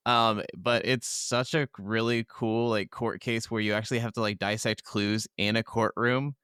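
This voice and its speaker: A man's voice: on the low side, steady tone, normal speed, a bit bright, clean tone, dry recording, clean studio sound. A man co-hosting a podcast, chatting casually in slangy, sweary speech. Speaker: podcast co-host. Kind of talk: casual chat.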